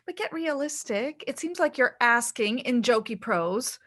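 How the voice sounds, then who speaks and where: A woman's voice: on the high side, lively intonation, fairly bright tone. An actress playing a dramatic, teasing, fretful ghost of a mother in a theatrical play reading, drawing out her words. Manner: declaiming, teasing, drawn-out.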